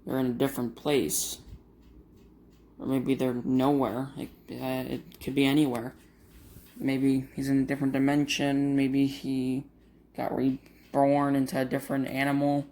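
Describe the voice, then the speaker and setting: A grieving man talking to camera in a vlog, in a monotone, halting with many fillers and restarts. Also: medium pitch, slight background noise. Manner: monotone, uninteresting voice. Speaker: vlogger. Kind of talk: casual talk to camera.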